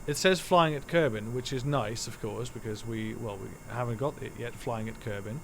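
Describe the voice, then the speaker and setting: Dutch-native guy streaming a game, rambling and correcting himself, mid-pitched, noisy stream audio. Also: normal speed, creaky, fairly bright tone. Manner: rambling, self-correcting, chatty. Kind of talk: live gameplay commentary.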